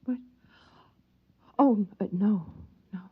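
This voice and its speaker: Breathy, wistful young wife. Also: female voice, medium pitch, slow pace. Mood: evasive, wistful. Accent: American. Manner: soft, hesitant, dreamy, breathy. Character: wistful, timid young pregnant wife, secretly yearning. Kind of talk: mid-century American sci-fi radio drama acting. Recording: muffled vintage radio recording, music behind.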